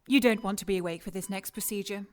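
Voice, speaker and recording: An actress playing a condescending scientist villain in a radio drama, clinical and taunting as she addresses her captive in an unhurried way. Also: mid-range voice, close to an everyday voice. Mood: coolly menacing.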